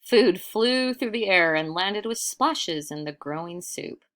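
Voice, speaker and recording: A woman reading a children's story aloud in expressive, scripted narration. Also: fairly low pitch, slow.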